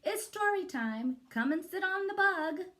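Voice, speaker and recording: A silly, cheerful lady schoolteacher from a storybook, as a woman reader puts her on. She calls out to her class in a raised, high pitch with a singsong manner, taking her time over the words. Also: lively intonation, measured pace, a bit bright, heavily acted. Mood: cheerful announcing.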